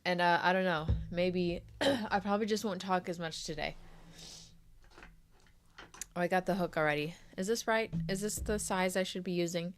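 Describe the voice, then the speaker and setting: A young adult woman hosting a crochet live stream, chatty and friendly with viewers while sick with a sore throat, often clearing her throat. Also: lowish voice, moderate pace, a little hiss or hum. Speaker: crochet livestream host. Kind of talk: casual live stream chat.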